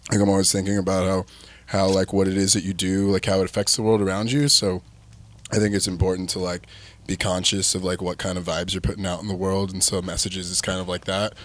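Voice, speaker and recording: A man's voice: low voice, level tone, fast talker. A man in his thirties telling his band's story in a candid, rambling way, filled with "like" and "um". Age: 30s. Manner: rambling, heavy use of "like" and "um", candid. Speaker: band frontman, guest. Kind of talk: casual chat.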